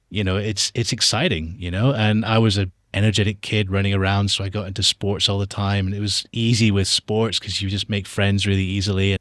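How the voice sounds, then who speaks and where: A man speaks in a fairly low register with a soft Scottish lilt blended into an international accent. He tells stories in a conversational interview style. The sound is dry, with very little room in it. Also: no echo. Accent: soft Scottish lilt mixed with international. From Australia, Dubai and Texas, raised internationally. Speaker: podcast guest, documentary filmmaker.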